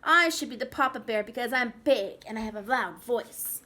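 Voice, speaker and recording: A woman's voice: fairly high pitch, normal speed. Woman doing an indignant little dinosaur boy. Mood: defiant. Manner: yelling, defiant.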